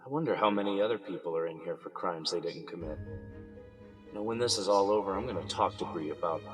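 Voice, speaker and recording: A man's voice: low-pitched, fast talker, close to an everyday voice. An actor playing an indignant, anxious businessman wrongly jailed for murder, thinking aloud in an audio drama. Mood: indignant, worried. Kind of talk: audio drama inner monologue. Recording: music playing behind the voice. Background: music.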